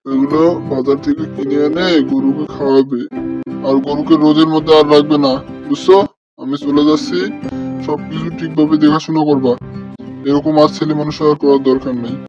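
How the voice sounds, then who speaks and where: A man's voice: a little high, muffled recording, close to an everyday voice. A man voicing a kindly middle-aged village uncle in a cartoon, grumbling but caring, with fatherly scolding. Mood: lecturing. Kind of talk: cartoon dialogue.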